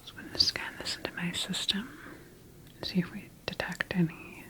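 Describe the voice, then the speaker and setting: A woman's voice: lowish voice, level tone, low-quality recording. Whispering female scientist, acted by a woman. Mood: clinical, calm. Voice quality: whispered, breathy. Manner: slow, gentle, measured clinical delivery. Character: calm, clinical female scientist examining her engineered creation. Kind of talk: ASMR roleplay.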